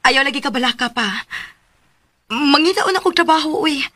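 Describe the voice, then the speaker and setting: A woman's voice: sits high, lively intonation, moderate pace, bright, forceful tone, dry recording, clean studio sound. An actress playing an earnest, defensive young woman fresh from college, in a radio drama. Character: earnest young female accountancy graduate defending herself.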